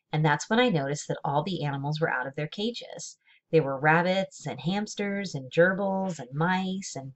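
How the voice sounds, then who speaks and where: A woman narrating a children's book aloud in an expressive, measured storytelling manner with deliberate emphasis. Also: deep voice. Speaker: read-aloud reader.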